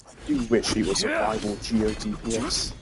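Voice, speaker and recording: A man's voice: on the low side, wildly swooping pitch, normal speed, vocal fry, loud music behind the voice. A man giving casual, rambling gaming commentary, thinking aloud as a streamer. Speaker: game streamer.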